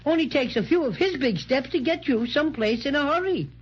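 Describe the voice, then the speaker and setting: A woman's voice: high voice, expressive. A woman voicing a small, bossy, plucky toy bear in a 1930s children's radio serial. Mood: brisk. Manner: brisk, sassy, take-charge. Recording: dull, hissy 1930s radio recording.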